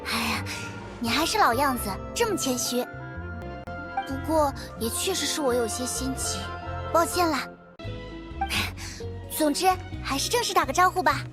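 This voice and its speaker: An eager young reporter, high-voiced. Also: female voice, animated, moderate pace, long pauses, over music. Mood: apologetic, friendly. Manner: chatty, courteous, bubbly. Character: young, eager, polite female newspaper reporter. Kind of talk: video game voice acting.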